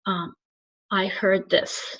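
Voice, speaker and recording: A woman from Turkey, a spiritual coach, talking alone to camera in an earnest, soft-spoken way, often pausing and restarting to find her words. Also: mid-range voice, level tone. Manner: halting, searching for words, frequent restarts. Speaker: spiritual channeler and soul coach. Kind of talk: reflective solo monologue to camera. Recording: slightly dull lo-fi recording.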